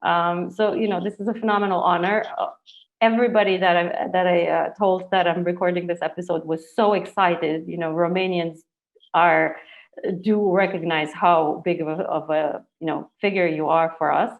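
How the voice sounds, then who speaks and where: A woman's voice: lowish voice, steady tone, normal speed, creaky, phone-quality sound. A woman hosting an informal podcast interview, speaking in an enthusiastic, effusive manner. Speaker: podcast host.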